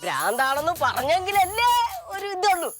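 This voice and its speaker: A very high, lively voice as an excitable youngster in a comedy sketch, prone to repeating phrases. It comes over loud music. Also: female voice, normal speed.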